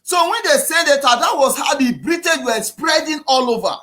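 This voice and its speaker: A man with a Nigerian accent giving an impassioned political talk, repeating himself and often raising his voice to a shout. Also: very high voice, expressive, normal speed. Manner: preachy, repetitive, often shouting. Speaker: activist speaker.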